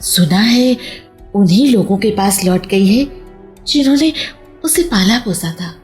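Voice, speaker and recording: A woman's voice: mid-range voice, lively intonation, unhurried, darkish tone, lightly acted. An actress playing a friendly neighbour woman sharing hearsay in a radio play. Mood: chatty. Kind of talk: Hindi radio play acting. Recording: faint music under a radio play.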